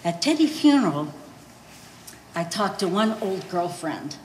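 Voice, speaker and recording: Woman acting a blunt old immigrant mother, animated. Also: on the low side, a little slow. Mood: urgent, pleading. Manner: broken English with dropped articles. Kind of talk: live one-act stage acting. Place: art gallery stage reading. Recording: roomy echoing hall, faint noise.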